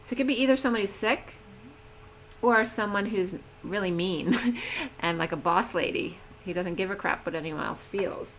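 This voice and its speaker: A woman giving a rambling, chatty tarot reading, talking with a voice that is going hoarse. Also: mid-range voice, normal speed, a bit bright, slight background noise, poor audio. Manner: chatty, rambling, laughs easily. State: losing her voice. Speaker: tarot reader. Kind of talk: casual tarot reading to camera.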